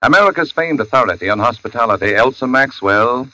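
A male commercial announcer in vintage radio style. He is polished and emphatic, with a bright, forceful tone and animated melody at a middle pitch. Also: fast talker, dry recording, rough recording.

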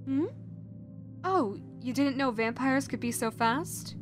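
Woman acting out a slow-talking, caring vampire lady. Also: high voice, over music. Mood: teasing, explaining. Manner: soothing, coaxing, speaking directly to the listener. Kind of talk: roleplay audio acting, ASMR-like.

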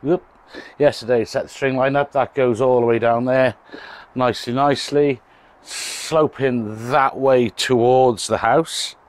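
A man's voice: medium pitch, steady tone, unhurried. A Welsh man in his fifties, a landscaper explaining his patio work in a chatty, self-mocking way, recorded outdoors on a handheld phone. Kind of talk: casual how-to vlog. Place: outdoor garden beside a house. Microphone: handheld phone, later a plugged-in mic.

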